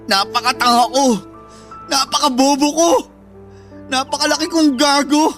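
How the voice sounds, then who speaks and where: Man acting a high-voiced, anguished protective brother. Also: fairly bright tone. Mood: anguished remorse. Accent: Filipino. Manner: emotional, pleading, earnest. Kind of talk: Tagalog radio drama acting. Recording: background music throughout.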